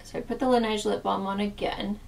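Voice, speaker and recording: A woman's voice: mid-range voice, steady tone, brisk, vocal fry, far from the mic, noisy background. A woman chatting through a makeup tutorial as a former makeup artist, talking in a casual way full of fillers, losing her voice so that it often cracks.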